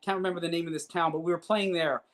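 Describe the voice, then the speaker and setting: Higher-voiced man telling a rambling story. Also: very fast, slight background noise. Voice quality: fairly high voice for a man. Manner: rambling, animated storytelling with restarts and laughs. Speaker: guest musician. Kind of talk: casual chat.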